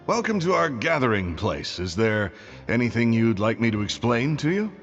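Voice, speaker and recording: A man's voice: medium pitch, very animated, moderate pace, a bit bright, muffled recording, faint music behind. An actor playing a courteous, earnest male guide in a video game, polite, formal and welcoming. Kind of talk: video game dialogue acting.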